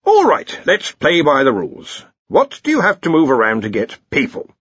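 A man voicing a blustering, bossy older male head wizard in an audiobook, brisk, sharp and pompous. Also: a little high, wildly swooping pitch, husky, no room sound, dull audio, pitched above the performer's own voice, heavily acted. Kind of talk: audiobook character voice.

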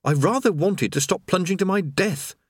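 A man voicing a cowardly wizard, shrill and panicked. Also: moderate pace, breathy edge, no room sound, clean studio sound.

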